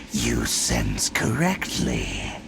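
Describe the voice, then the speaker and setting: A man voicing a menacing, theatrical demonic villain in a video game, with a gravelly, grandiose, sneering delivery. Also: very deep voice, noisy background, full character voice. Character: menacing, theatrical male demonic herald of the abyss. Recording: music behind the voice.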